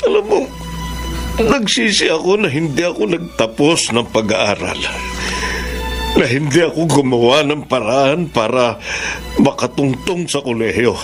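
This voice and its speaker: A man's voice: mid-range voice, very animated, a little slow. A man playing a humble, loving middle-aged farmer father who blames himself, in Filipino radio drama style. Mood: regretful. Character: humble, loving provincial farmer father, troubled and self-blaming. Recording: music bed behind dialogue.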